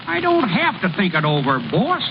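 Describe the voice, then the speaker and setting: An actor playing a lazy, cocky, food-loving small-town ballplayer in a folksy, boastful, old radio comedy style. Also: male voice, high voice, wildly swooping pitch, creaky, full character voice. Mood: mildly insistent. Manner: folksy, unhurried, boastful, ungrammatical. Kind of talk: 1930s-40s radio comedy acting. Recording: muffled, noisy old radio broadcast.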